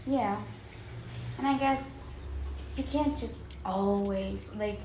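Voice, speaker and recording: A young woman from Brazil with a Brazilian accent, a native Portuguese speaker, chatting casually as a podcast co-host in an animated, giggly way. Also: mid-range voice, slow pace. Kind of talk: casual chat between friends. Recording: roomy echo with faint background noise.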